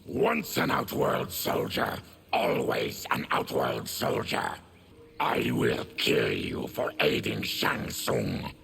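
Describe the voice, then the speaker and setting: An actor playing a bitter, diseased, monstrous former soldier in a fighting game, growling with grim menace. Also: male voice, a bass voice, huge pitch swings, slow pace, a bit bright, full character voice. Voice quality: gravelly, growling. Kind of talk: video game fight intro dialogue.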